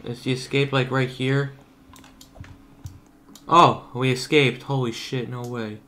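A man's voice: mid-range voice, level tone, slow, bright, forceful tone, a little hiss or hum. Casual, sweary young male gamer. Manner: informal, sweary, exclamatory. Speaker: gaming YouTuber. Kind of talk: casual game reaction commentary.

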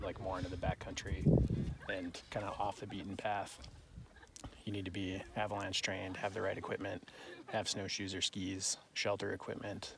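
A man's voice: low-pitched, gravelly. A man chatting casually on a snowy hiking trail, explaining what you need for the backcountry. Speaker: companion on a hike. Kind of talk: casual outdoor chat. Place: outdoors on a snowy mountain trail. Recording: noisy outdoor camera audio.

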